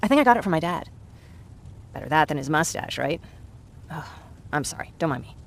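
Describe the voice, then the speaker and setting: Young woman survivor, game drama: earnest, chatty, candid, lower-pitched voice, natural delivery. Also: animated, fairly quick, vocal fry. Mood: wistful, chatty. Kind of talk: naturalistic video game acting.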